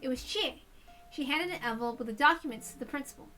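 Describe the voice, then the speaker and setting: A woman voicing a teenage boy narrator who broods and then berates himself, her voice hoarse. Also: high-pitched, fast, faint music behind. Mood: brooding. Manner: swings from musing to shouting at himself. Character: teenage boy narrator, jumpy and self-blaming.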